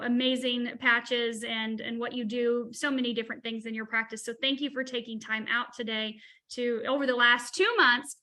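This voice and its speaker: Woman in her 40s, high bright voice, gushing and chatty on a video call. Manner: effusive and enthusiastic. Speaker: webinar co-host. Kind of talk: casual webinar chat.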